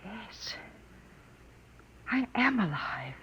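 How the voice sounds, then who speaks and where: An actress playing a gentle artificial woman discovering feeling in a 1950s radio drama, soft-spoken and wistful. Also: mid-range voice, sing-song, very slow, slight background noise, full character voice. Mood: longing, wonder. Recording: muffled vintage 1950s radio recording.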